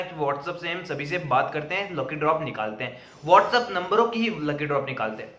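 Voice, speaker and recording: Casting: young male video creator, high forceful voice, earnest explainer delivery, roomy sound. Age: young adult. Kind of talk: explanatory talk to camera, cautionary. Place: small indoor room with plain wall. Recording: roomy indoor echo.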